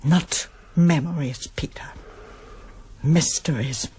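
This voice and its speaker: Low-voiced, brittle mother. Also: slow, warm tone, slightly rough, heavily acted. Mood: wounded, accusing. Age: middle-aged. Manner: wounded, hesitant, introspective. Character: troubled, brittle mother of a grown son, former pianist. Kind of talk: broadcast radio drama acting.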